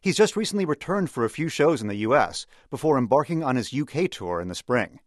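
American guy hosting a radio interview, medium pitch, really dry studio sound. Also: sing-song, a little fast, dry recording. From United States. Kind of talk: interview questions and scripted introduction.

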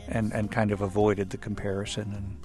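A man hosting an interview, courteous and measured, who introduces the songs. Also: on the low side, completely flat delivery, music in the background. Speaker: interviewer, host.

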